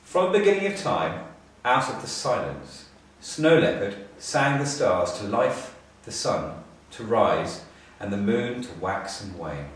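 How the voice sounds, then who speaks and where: A middle-aged man narrating a children's storybook in a measured, expressive way with pauses. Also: fairly low pitch. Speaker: storybook reader, school staff. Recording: echoey room, distant mic.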